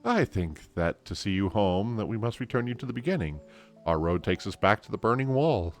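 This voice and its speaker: A man voicing a learned fantasy game scholar who guides a traveler in a formal, measured way. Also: on the low side, expressive, faint music behind, voice pushed up. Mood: pondering.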